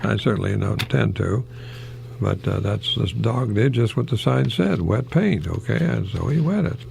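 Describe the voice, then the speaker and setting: An older American man, a retired professor, giving a folksy, rambling radio monologue in a gravelly, husky voice with pauses mid-phrase. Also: lowish voice, expressive, noisy background. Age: older, retired, 60s or above. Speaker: retired English professor hosting a radio program.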